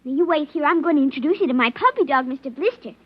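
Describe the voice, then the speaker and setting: A performer playing an excitable, petulant little girl in a 1950s radio drama, with a childish, emphatic, whiny delivery. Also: high-pitched, fast. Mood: bossy, playful. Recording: muffled, very noisy old radio broadcast.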